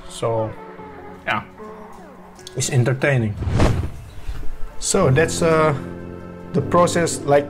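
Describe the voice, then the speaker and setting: A young man whose first language is Indonesian explains his editing in a slow, halting way, often apologizing and correcting himself. He has a middle-pitched voice and speaks in a small room at home, close to the camera, with music playing underneath. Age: young adult. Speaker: drone video creator. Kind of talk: casual screen-recorded editing tutorial. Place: small room at home, washing machine running.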